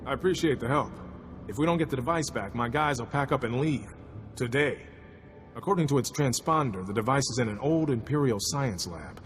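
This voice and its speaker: Male actor as harried game commander, blunt, lively swooping intonation, mid pitch, reverberant hall. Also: soft background music, close to an everyday voice. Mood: worried, explaining. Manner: brisk, blunt. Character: gruff, harried military commander, practical and weary. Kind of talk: video game cutscene acting.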